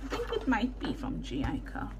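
A woman's voice: medium pitch, huge pitch swings, normal speed, crowd noise. A woman from South Africa with a South African Indian English accent, chatting casually as she works through a crafting video at her desk, rambling and correcting herself with muttered asides. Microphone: overhead camera setup, hands only in frame.

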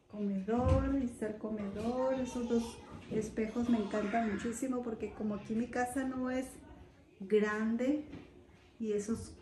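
A woman's voice: a little high, mellow, a little hiss or hum, poor audio. Chatty woman giving a home tour. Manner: chatty, homely, addresses viewers directly. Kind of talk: casual home tour narration. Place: house and yard, indoors and outdoors. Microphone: phone camera held while walking.

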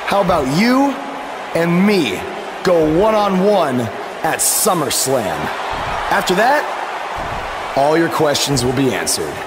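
A brash young wrestler, sure of himself, in a wrestling game promo. The voice sits high for a man, with loud arena noise behind it. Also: very animated, takes it slowly, long pauses. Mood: confident. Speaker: professional wrestler.

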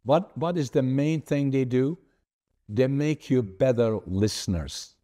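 Older dutch guy hosting a podcast over a video call, english is his second language, talks slow and careful, warm low tone, earnest about his life story. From Netherlands. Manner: earnest, deliberate, gestures with his hands. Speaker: podcast host. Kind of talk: conversational storytelling. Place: home office with framed certificates.

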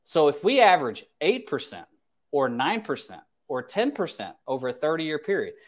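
Higher-pitched man in his thirties, emphatic advisor. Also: very animated, moderate pace. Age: 30s. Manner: emphatic, repeats phrases for stress. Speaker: financial advisor hosting a livestream. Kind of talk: advice livestream talk. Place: small indoor home office.

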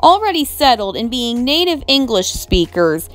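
Lively, higher-pitched woman narrating a lesson. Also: faint music behind. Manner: reads from a script, measured and explanatory, with asides to viewers. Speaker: educational video host. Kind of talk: scripted narration over slides. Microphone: voice-over.

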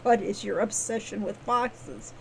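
A woman voicing an irritable, sarcastic male thief in a storybook tale, scoffing and deadpan. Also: mid-range voice, measured pace. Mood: scoffing, guarded. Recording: clearly noisy background.

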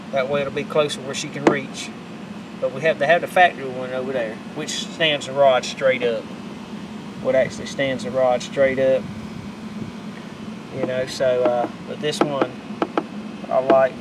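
A middle-aged man, chatting casually and rambling as he shows off upgrades to his boat in a backyard. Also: medium pitch. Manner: rambling, folksy, self-correcting. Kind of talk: casual show-and-tell. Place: backyard outdoors. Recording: outdoor camera audio with loud background noise.

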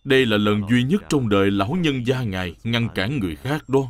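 Man as an earnest monk disciple, middling pitch. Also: animated, a little fast, lightly acted. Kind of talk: animated Buddhist drama dub.

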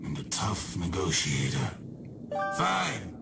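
An actor voicing a scheming, short-tempered shadowy spirit who takes souls, theatrical and mock-polite, in a video game villain style. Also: male voice, low voice, wildly swooping pitch, takes it slowly, gravelly, over loud music, exaggerated voice. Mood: grudging bargaining.

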